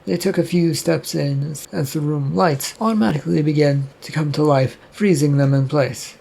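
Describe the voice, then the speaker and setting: A man narrates fiction in a slightly high voice with a husky, gravelly edge. He reads scripted prose as a storyteller, with creak running through his words. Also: normal speed, darkish tone.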